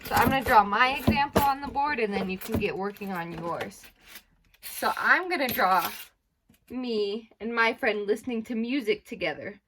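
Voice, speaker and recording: A woman with a fairly high voice speaks as an elementary school teacher. She leads a read-aloud lesson in simple instructional phrases, guiding her young pupils with questions. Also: animated, normal speed, noisy background.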